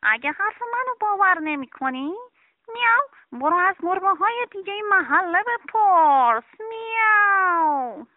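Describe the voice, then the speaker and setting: Woman putting on a high, lively cheeky storybook cat, meowing between words, tinny phone-like sound. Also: dry recording. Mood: teasing. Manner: drawn-out meows between words, teasing.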